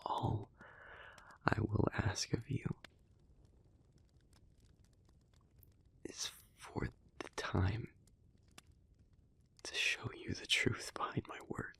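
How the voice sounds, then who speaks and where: A man playing a gentle, solemn, remorseful demon in an intimate audio roleplay, speaking to the listener in a breathy, halting, earnest near-whisper. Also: on the low side, flat delivery, heavily acted. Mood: gentle, understanding. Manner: slow, halting, earnest, with long pauses.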